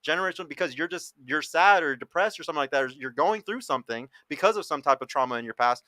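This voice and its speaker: Fast-talking bright man in his thirties. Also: a little high, animated, dry recording. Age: 30s. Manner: emphatic, blunt, swears freely. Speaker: dating podcast host. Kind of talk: casual panel talk.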